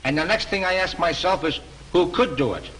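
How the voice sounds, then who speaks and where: A self-important, slow-witted guard in an early talkie, his fairly high voice bouncing up and down as he lays out his ideas. Crackly hiss from the old film soundtrack sits under every line. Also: animated, fairly bright tone. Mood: earnest. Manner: earnest, self-important, ungrammatical. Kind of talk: 1930s film acting. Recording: hissy 1930s film soundtrack.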